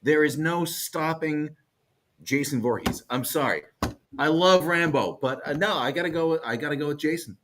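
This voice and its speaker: A man's voice: on the high side, normal speed, background noise. A man chatting casually as a panelist on a talk show. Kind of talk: casual chat.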